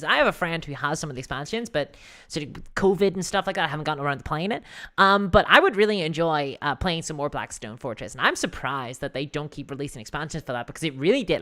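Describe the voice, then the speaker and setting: A livestream host with a hoarse voice, chatting casually and self-deprecatingly about tabletop games and answering viewers. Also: mid-range voice, bright, forceful tone. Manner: giggly, laughs often while talking.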